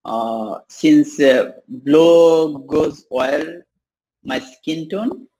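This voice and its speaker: A young man from Bangladesh, practicing English on a video call with many pauses and fillers. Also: on the high side, warm tone, low-quality recording. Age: young adult. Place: small room indoors.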